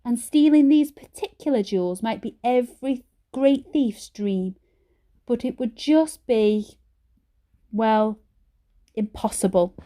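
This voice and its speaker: A woman's voice: on the high side, slow pace, dark tone. A woman voicing a stern but fond old granny in a storybook, with an emphatic, scolding manner. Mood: wistful, confiding.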